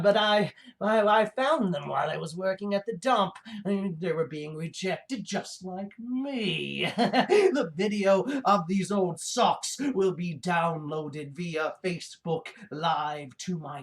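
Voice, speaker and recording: A performer puts on a gleefully deluded mad scientist hosting a comedy show. The delivery is slow and halting, wandering off into asides. This villain cackles and savors dreams of revenge on the world. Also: medium pitch. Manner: halting, hesitant, prone to cackling. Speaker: show host. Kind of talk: rambling comic in-character monologue.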